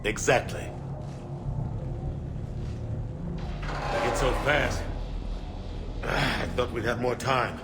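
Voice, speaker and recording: An actor playing a grizzled older admiral in a video game, commanding and terse, urgent and resolute. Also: male voice, mid-range voice, fairly even intonation, a little slow, very noisy, lightly acted. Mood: grim, frustrated. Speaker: military commander in battle. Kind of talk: video game cinematic acting. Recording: loud music over the voice.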